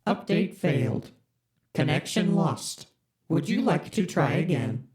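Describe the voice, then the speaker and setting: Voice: mid-range voice, big pitch swings, measured pace, a little mellow. An actor voicing a chipper, formal corporate spaceship AI in an audio drama, speaking like a scripted announcer. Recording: roomy echo.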